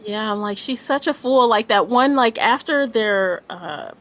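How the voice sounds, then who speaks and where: A woman talking casually as a panelist in a podcast book discussion, with an animated, exclamatory and slangy way of speaking. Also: on the high side, measured pace.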